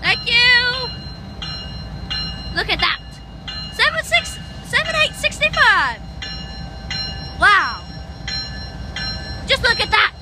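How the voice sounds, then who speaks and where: A woman filming trains on a station platform with a handheld phone, giving excited live commentary and whooping as freight trains pass. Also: very high-pitched, takes it slowly, long pauses. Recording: loud train noise, outdoor phone audio. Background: loud passing freight trains.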